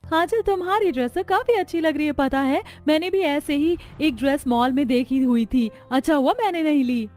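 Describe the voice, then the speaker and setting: A woman voicing chatty, friendly young Indian daughters-in-law from a neighbourhood in an expressive, conversational way for a cartoon story. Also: high voice, moderate pace, few pauses, natural voice. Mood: pleased, excited.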